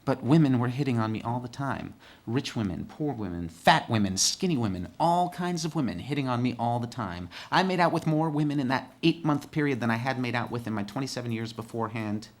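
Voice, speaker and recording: A man's voice: mid-range voice, animated, fairly quick. Candid man telling a personal story. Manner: candid, conversational, builds rhythm with repeated lists.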